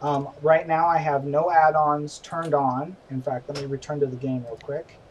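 A man's voice: fairly high pitch, fairly even intonation, normal speed, recorded from a distance, highs cut off, a little hiss or hum. A middle-aged man hosting a casual game stream, chatty and self-deprecating, recorded at home. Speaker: stream host. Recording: voice from away from the mic, faint music behind.

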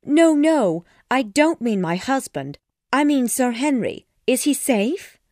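A man voicing a frightened, battered young wife in a high pleading falsetto, dry close sound. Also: big pitch swings, slow pace, darkish tone, no room sound, full character voice. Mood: anxious.

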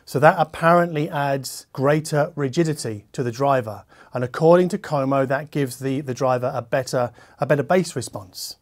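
A man's voice: mid-range voice, moderate pace, few pauses. A man in his forties giving a casual product review to camera, conversational and emphatic, with asides and self-corrections. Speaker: host, audio equipment reviewer. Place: furnished living-room listening room, indoors.

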